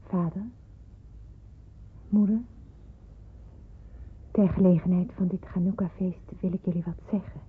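A devout young daughter in a wartime radio play. Her voice is very husky and breathy, and she speaks with solemn, measured earnestness. The old recording sounds thin and phone-like. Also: lowish voice, steady tone. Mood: solemn, hopeful. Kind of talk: radio drama narration.

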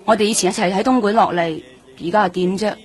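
An actress playing a jaded, streetwise nightlife hostess in a Cantonese radio drama, talking in a blunt, offhand, slangy way. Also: female voice, mid-range voice, steady tone, quick pace, darkish tone, lightly acted. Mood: guarded, offhand. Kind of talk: radio drama acting, colloquial dialogue.

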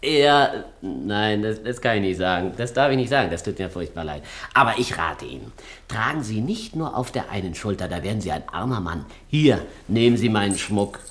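An actor playing a chatty small-time burglar in a satirical radio play, stammering, repeating himself and wheedling theatrically. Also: male voice, fairly low pitch, lively intonation, moderate pace, fairly bright tone. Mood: conspiratorial.

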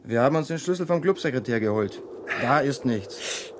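A man's voice: mid-range voice, animated, moderate pace, lightly acted. An actor playing a dutiful, deferential male police constable in a 1970s radio crime drama. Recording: noisy outdoor scene with birds.

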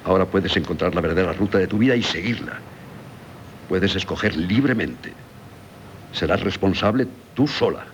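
An actor playing a middle-aged, devoted and sorrowful doctor husband in a radio drama, solemn and theatrical, with a Castilian Spanish accent. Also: male voice, low-pitched, moderate pace, natural voice. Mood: sorrowful resignation. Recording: slightly noisy 1970s radio recording.

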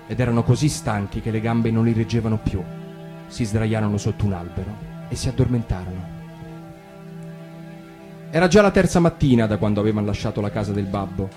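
Man reading a fairy tale calmly. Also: fairly low pitch. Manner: calm, measured storytelling. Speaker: reader. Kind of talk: fairy tale read aloud on radio. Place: radio studio. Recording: guitar music underneath.

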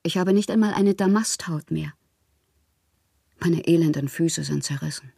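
An actress playing a proud, lovelorn woman poet in a literary radio drama monologue, theatrical and intimate with a husky, breathy voice. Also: deep voice, moderate pace, warm tone, no echo, clean studio sound, full character voice. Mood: wounded, bitter. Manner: theatrical, poetic, intimate, at times whispering. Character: wounded, proud, lovelorn woman poet styling herself a prince.

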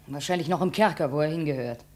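An actress playing a bitter, defiant slave woman with a slightly husky voice and curt manner in a 1950s German radio drama. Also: deep voice, fast, darkish tone. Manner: challenging, curt.

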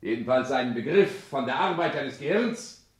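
A man acts out a vain, grandiose husband in a 1960s radio play. His mid-pitched voice swoops expressively as he declaims and lectures, dropping affected French phrases with great self-importance. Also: lively intonation, moderate pace, natural voice. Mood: pedantic, lecturing.

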